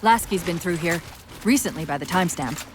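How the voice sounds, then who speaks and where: A woman's voice: lowish voice, lively intonation, fairly quick, background noise, lightly acted. An actress playing a clever, observant female AI companion in a video game, analytical and articulate.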